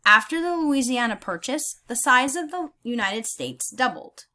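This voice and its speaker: Female teacher for a read-aloud lesson: bright, forward tone, animated pitch movement, deliberate instructional phrasing.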